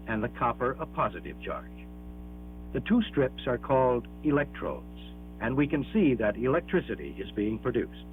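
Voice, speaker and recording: Man narrating a dull-sounding 1960s classroom film. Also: mid-range voice. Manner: measured, explanatory, emphatic, with rhetorical questions.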